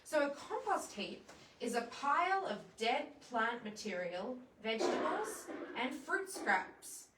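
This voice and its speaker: A woman's voice: a little high, sing-song, slow, few pauses, very noisy. A woman presenting a children's storytime, explaining things simply and putting questions to young viewers. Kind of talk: children's storytime with songs and read-alouds. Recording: roomy echo, distant microphone.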